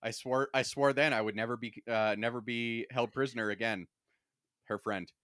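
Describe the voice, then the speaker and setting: A man's voice: mid-range voice, fairly even intonation, normal speed, fairly bright tone, no room sound. A man co-hosting a podcast, chatting casually with wry, dry humor. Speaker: podcast co-host. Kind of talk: casual chat, book discussion.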